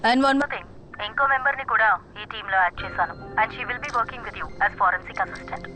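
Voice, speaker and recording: A woman's voice: on the high side, measured pace, natural voice. Woman acting a stern, bossy police investigator, music behind. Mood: stern, instructing. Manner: clipped, commanding, mixes English phrases into talk. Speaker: actor in a dubbed film. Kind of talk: dramatic film dialogue.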